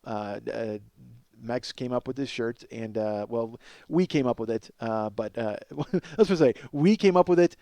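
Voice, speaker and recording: A man's voice: medium pitch, normal speed, dark tone, no room sound. A man hosting a podcast in a casual comedic monologue, rambling, cutting himself off, swearing and mocking himself. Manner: rambling, self-interrupting, profane; self-mocking. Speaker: podcast host.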